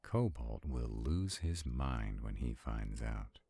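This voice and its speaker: A man playing an arrogant, protective centuries-old vampire in a roleplay audio drama, haughty and brooding, with a gravelly, husky voice. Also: very deep voice, lively intonation, takes it slowly, mellow, exaggerated voice. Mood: dry, wry.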